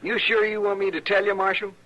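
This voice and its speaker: A snide frontier clerk in an old radio western. The American voice is fairly high and sharp, with an insolent, needling delivery. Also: male voice, level tone, quick pace, muffled recording. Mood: mocking. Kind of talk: 1950s western radio drama acting.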